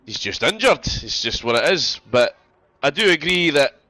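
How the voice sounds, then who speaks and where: A man's voice: fairly high pitch, very animated, normal speed, pushed and bright, low-quality recording. A man doing casual sim racing commentary, congested from a cold, chatty and prone to repeating himself.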